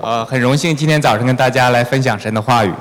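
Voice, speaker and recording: The man standing beside a preacher in a big echoing church, relaying each phrase to the congregation in a mid-pitched voice. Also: fast talker. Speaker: church sermon interpreter. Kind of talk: consecutive interpreting of a sermon. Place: large reverberant church hall. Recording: echoey hall with long reverb.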